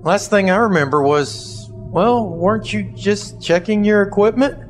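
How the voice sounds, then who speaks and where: Man playing a groggy sergeant, highish swooping voice. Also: animated. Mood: groggy, bewildered. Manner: halting, confused. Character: groggy, bewildered male army sergeant, anxious and sheepish. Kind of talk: audio drama acting. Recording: loud music bed under the voice.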